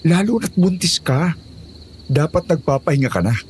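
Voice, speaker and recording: A man's voice: a little high, lively intonation, moderate pace, a little mellow, slight background noise, lightly acted. A man playing a stern, uncanny old father-in-law in an audio horror drama. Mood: stern, eerie. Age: older. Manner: stern, admonishing.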